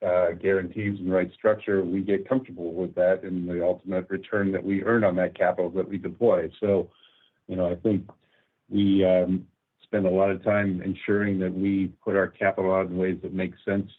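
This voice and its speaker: Man, executive, very low voice, flat intonation, conversational business explanation. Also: normal speed. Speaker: company executive answering analysts. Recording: narrowband conference phone line.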